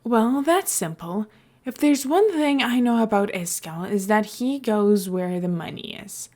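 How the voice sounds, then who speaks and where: A woman's voice: mid-range voice, expressive, measured pace, a little mellow. A woman voicing a glib, scheming male mayor in an audiobook, with a nonchalant, playful and emphatic delivery. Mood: casual, assured.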